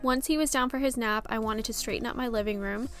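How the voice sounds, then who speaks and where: A woman's voice: fairly high pitch, quick pace. A young woman with a slightly stuffy, scratchy voice from a cold, chatting casually as she narrates her cleaning vlog. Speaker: vlogger, mother. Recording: music playing underneath.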